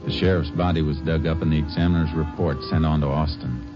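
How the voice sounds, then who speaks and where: An actor playing a calm, dogged Texas Ranger investigator in a terse, polite 1950s radio drama style. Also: male voice, extremely low pitch, fast talker, loud music behind the voice. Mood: matter-of-fact. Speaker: lead actor, narrator. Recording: dull, hissy old radio recording.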